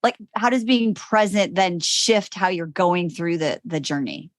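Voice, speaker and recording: A woman host chats with her guest in an excited, loose way, throwing in the odd swear word. Her voice is mid-pitched for a woman, and the recording is dry and close. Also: measured pace, dry recording. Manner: bubbly, chatty, swears casually. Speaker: podcast host. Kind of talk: casual interview chat.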